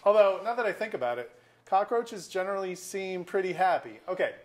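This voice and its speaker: A man's voice: high-pitched, animated, a little fast. Man playing a bitter, sardonic middle-aged lawyer. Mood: bitterly gleeful. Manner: wry, wordy, sarcastic. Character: bitter, sardonic middle-aged male small-town lawyer nursing a grudge. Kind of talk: staged play reading, naturalistic dialogue. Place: auditorium.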